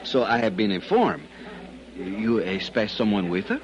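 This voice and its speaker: An actor playing a courtly, smitten Panamanian police captain with a Latin American Spanish accent, speaking ornate, halting English in 1950s radio drama style. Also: male voice, fairly low pitch, big pitch swings. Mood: helpful, hesitant. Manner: halting, ornate foreign English with frequent hesitations. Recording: muffled old radio broadcast.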